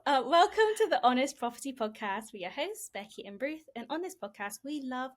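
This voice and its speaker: Bubbly higher-voiced woman podcast host. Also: sing-song, brisk. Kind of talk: casual podcast chat.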